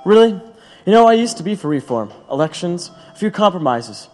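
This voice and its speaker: Guy doing proud bitter union radical, earnest, slightly high voice, stage drama, lots of reverb. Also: big pitch swings, fairly quick, darkish tone. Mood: bitter, ironic. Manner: earnest, rhetorical. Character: defiant, principled male labor radical, proud and bitter. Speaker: actor in an audio drama. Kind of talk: dramatic audio play acting. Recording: long hollow reverb.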